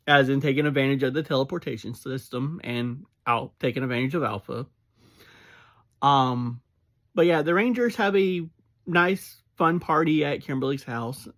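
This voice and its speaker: An unhurried, chatty reviewer in their thirties or forties talks through their thoughts at a slow pace, with a voice in the middle of the usual range. The manner is easygoing and informal, with small laughs and filler sounds mixed in. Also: mid-range voice, fairly bright tone. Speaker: fan reviewer. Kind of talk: casual spoken review.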